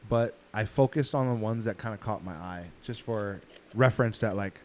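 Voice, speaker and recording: A man's voice: on the low side, normal speed, darkish tone. Slangy man chatting over background noise. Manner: laid-back slangy, frequent swearing. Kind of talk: casual chat. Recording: room recording with clear background noise.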